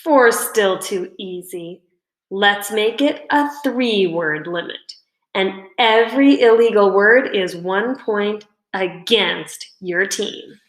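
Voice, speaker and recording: A woman's voice: medium pitch, takes it slowly, lightly acted. A woman reading a children's storybook, playing an annoying, cocky schoolboy with a mocking, needling manner. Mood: confident, matter-of-fact. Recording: roomy indoor echo.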